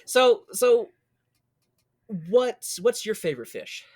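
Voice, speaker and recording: A man's voice: very high voice, big pitch swings, slow. A man hosting a casual podcast, chatting and recapping in a jokey, exclamatory way with some swearing. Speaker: podcast host. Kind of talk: casual chat with comedic show recap.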